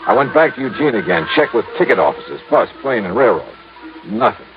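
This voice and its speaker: An American actor playing a brisk, wry insurance investigator who narrates his case in an old-time radio detective drama. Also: medium pitch, sing-song. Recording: dull vintage radio recording with music.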